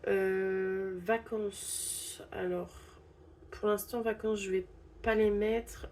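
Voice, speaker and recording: A woman's voice: medium pitch, flat delivery, slow pace, a little mellow, slight background noise. A young woman with a stuffy head cold, casually narrating a budgeting vlog and hesitantly counting bills aloud. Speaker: budgeting channel host.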